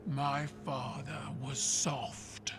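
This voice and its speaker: A man playing a proud alien warrior ruler in a game cutscene, formal, measured and commanding with menace. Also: medium pitch, steady tone, over music. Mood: imperious. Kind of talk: scripted game cutscene acting. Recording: dramatic score behind the voice.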